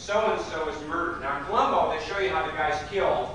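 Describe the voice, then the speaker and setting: A man's voice: on the high side, brisk, fairly bright tone, slight background noise. A man leading a live workshop, telling jokes and teasing people in the room. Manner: playful storyteller, teases audience members. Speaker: workshop leader. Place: large hotel meeting room. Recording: echoey room recorded from far off.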